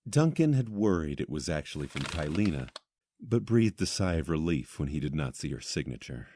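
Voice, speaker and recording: A man's voice: rumbling low, very animated, moderate pace, dark tone. A man narrating a scripted fantasy audio drama as its storyteller. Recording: clearly noisy recording with clicks.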